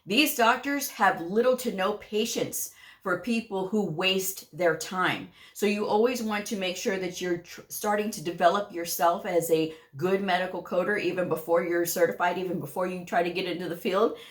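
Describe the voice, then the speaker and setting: A woman's voice: on the low side, moderate pace. A woman hosting her own channel who gives casual career advice to viewers, talking directly and firmly, often checking in with "okay?" and laughing lightly. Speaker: medical coding educator and channel host.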